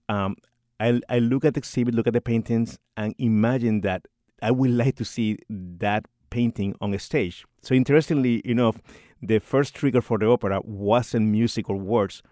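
A man's voice: lowish voice, dark tone, no echo, studio quality. A middle-aged man from Rico, a composer and church organist talking in a radio interview, hesitant and full of restarts and repeated words. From Puerto Rico.

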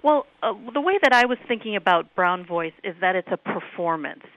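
A woman's voice: medium pitch, expressive, a little fast, no room sound. A woman professor with a Midwestern accent, answering radio interview questions in a thoughtful academic way, with many ums. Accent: Midwestern American. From United States. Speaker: professor guest on call-in radio. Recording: tinny phone line.

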